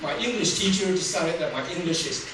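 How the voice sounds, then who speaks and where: A man's voice: fairly high pitch, expressive, fairly quick. Older Taiwanese man speaking in an echoey hall. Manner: humorous, laughs at his own jokes. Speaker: public speaker. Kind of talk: storytelling speech. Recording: roomy hall from far off, noisy.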